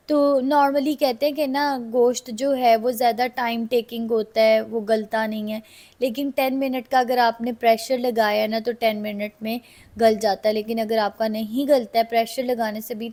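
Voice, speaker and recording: A slightly hoarse woman with a Pakistani Urdu accent, chatting casually to viewers in a cooking vlog voice-over. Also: a little high, darkish tone. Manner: chatty, addresses viewers directly. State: slightly hoarse from a sore throat. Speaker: vlogger.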